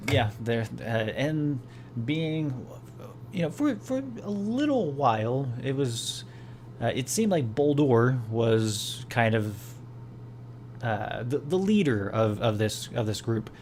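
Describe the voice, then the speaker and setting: A man's voice: mid-range voice, sing-song, slow pace, a little mellow, slight background noise. A man hosting a podcast in casual chat, rambling with many fillers and restarts. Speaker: podcast host.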